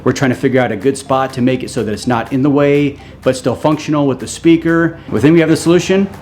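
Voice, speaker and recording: A man presenting a casual how-to in an explanatory, measured way, as co-host of a gear channel. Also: medium pitch, darkish tone, a little hiss or hum.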